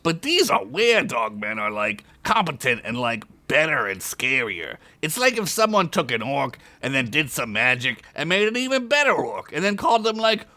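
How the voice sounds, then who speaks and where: A man's voice: medium pitch, very animated, moderate pace, hardly any pauses, bright, forceful tone. A man voicing a small male goblin forklift driver who is nerdy, matter-of-fact and whiny. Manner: know-it-all, whiny.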